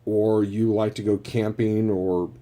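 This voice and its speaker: A man narrates a casual product review. His voice sits a little low and has a dark, relaxed tone. He explains things in a plain-spoken, matter-of-fact manner. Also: unhurried.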